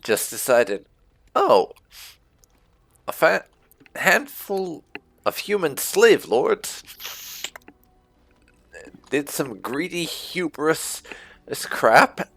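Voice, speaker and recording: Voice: mid-range voice, sing-song, extremely slow, vocal fry, pauses often, a bit bright, no room sound. A video game streamer giving casual, rambling let's-play commentary with wry asides.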